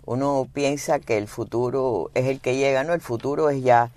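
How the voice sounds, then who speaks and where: A man's voice: mid-range voice, steady tone, normal speed, a little mellow, no echo. A middle-aged man born in Cuba, co-hosting a talk show and telling reflective anecdotes. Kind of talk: casual studio talk.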